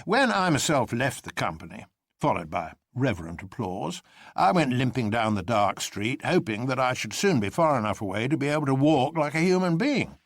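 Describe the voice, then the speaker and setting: A man's voice: medium pitch, big pitch swings, normal speed, no echo, studio quality. A man narrating a story expressively from a script, as a storyteller reading dramatic fiction.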